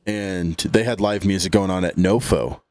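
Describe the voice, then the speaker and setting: A man's voice: low voice, moderate pace, no echo. A man in his late twenties co-hosting a podcast, telling a story in a laid-back, rambling way. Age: late 20s. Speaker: podcast co-host. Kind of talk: casual chat.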